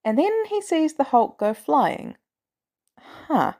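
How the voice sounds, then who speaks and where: A woman reading scripted fiction as a podfic narrator, with expressive, dramatic emphasis. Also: high-pitched, slow pace.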